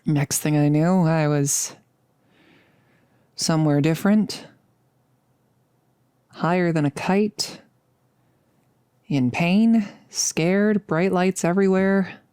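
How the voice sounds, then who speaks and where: A close, dry ASMR roleplay voice with a mellow tone: a performer acting as a nervous girlfriend who jokes to cover it. The delivery is halting and confessional, unhurried, dipping into whispers. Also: medium pitch, fairly even intonation, smooth, no room sound, lightly acted. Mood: wry, reminiscing. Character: nervous, joking girlfriend confiding before becoming a vampire. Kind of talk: intimate ASMR audio roleplay.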